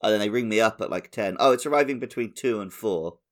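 A man's voice: on the low side, quick pace. A man co-hosting a podcast, chatting informally and telling everyday anecdotes. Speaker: podcast host. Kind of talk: casual chat, anecdotes.

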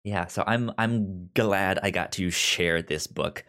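Male host, deep pitch, casual book chat, halting delivery with repeated words. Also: pushed and bright. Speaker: podcast host.

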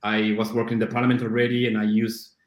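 A man's voice: lowish voice, monotone, echoey room, highs cut off. A man whose first language is Spanish, a guest on an interview show, telling his story in casual chat with many restarts and self-deprecating laughs. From Argentina. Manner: halting, many restarts and fillers, laughs at himself. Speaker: podcast guest, CrossFit coach.

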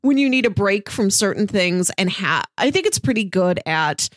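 A woman's voice: mid-range voice, lively intonation, moderate pace, no echo. Young female co-host, talkative, lots of fillers, dead-dry mic. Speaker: podcast co-host. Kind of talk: casual chat.

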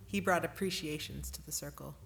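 A woman's voice: low-pitched, brisk, gravelly, fairly bright tone. A woman narrating in an explanatory podcast style, as a workshop leader. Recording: faint background noise.

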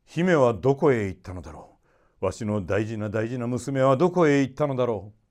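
A man's voice: mid-range voice, expressive, a little fast, darkish tone, close to an everyday voice. A man voicing an older, imperious king and doting father in a storybook, regal and emphatic. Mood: grieving.

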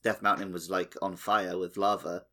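Low-voiced man, casual podcast co-host. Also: flat delivery, normal speed, bright, forceful tone. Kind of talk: casual chat about a video game.

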